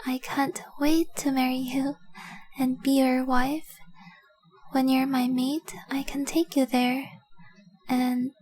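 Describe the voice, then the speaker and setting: A woman playing a devoted young dragon-blooded fiancée in a romantic audio roleplay, speaking softly, earnestly and adoringly. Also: high voice, little pitch movement, slow, poor audio. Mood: devoted, hopeful. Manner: soft, earnest, adoring, often dropping to breathy whispers.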